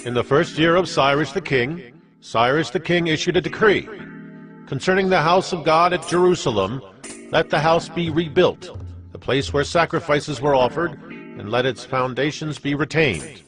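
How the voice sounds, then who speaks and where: A man's voice: on the high side, normal speed, fairly bright tone, close to an everyday voice. A man playing a commanding Persian king, proclaiming a decree in a stately, imperious way in a dramatized Bible reading. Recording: music bed under the voice.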